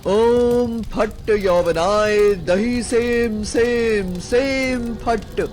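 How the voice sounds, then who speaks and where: A woman's voice: on the high side, drawn-out words, a little mellow. A woman voicing a vengeful, menacing female cat witch in a horror storybook tale, with incantatory delivery. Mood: chanting. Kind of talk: dramatic horror storybook reading. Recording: music bed behind the voice.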